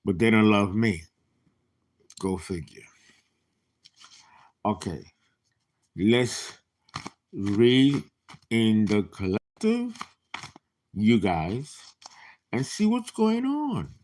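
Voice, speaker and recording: A man in his late fifties giving a casual tarot reading, chatty and animated, with laughing asides and dropped whispers. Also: fairly low pitch, very slow, long pauses. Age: 50s. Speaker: tarot reader.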